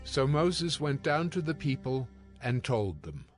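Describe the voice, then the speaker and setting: A man's voice: mid-range voice, very animated, unhurried. A man narrating scripted scripture as a solemn storyteller in a dramatized audio production. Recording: music under the voice.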